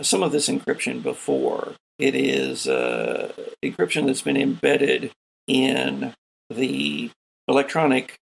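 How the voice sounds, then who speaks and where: Gravelly man narrating as game master. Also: mid-range voice, poor audio. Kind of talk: improvised tabletop game narration.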